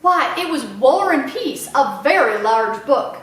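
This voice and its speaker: A woman's voice: high-pitched, sing-song, measured pace, a bit bright, full character voice. A woman voicing a proud old small-town Southern lady librarian who tells tales with dramatic, repeated emphasis for a children's storybook. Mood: suspenseful, excited. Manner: emphatic, repeats phrases for effect. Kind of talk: children's audiobook character voice. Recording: very echoey room, slightly distant mic.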